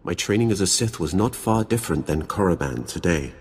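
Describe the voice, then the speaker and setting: A deep-voiced, ancient, grim Sith lord. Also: male voice, animated, fairly quick, breathy edge, soft background music. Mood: solemn recounting. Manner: formal, solemn, disdainful. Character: ancient, grim, proud Sith lord, three centuries old. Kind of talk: dramatic video game voice acting.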